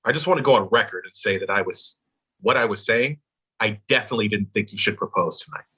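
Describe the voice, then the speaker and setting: A disapproving man keen to save face, played by a man at a table read. His voice is lowish, with a forceful, bright edge and a rough husk. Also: fast. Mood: disappointed, defensive. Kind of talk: video-call table read acting.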